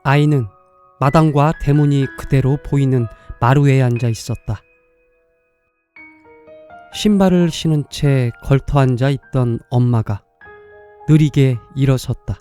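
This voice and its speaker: A man narrating a scripted dramatic story in a measured, literary way, pausing between short phrases. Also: medium pitch, dark tone, faint music behind.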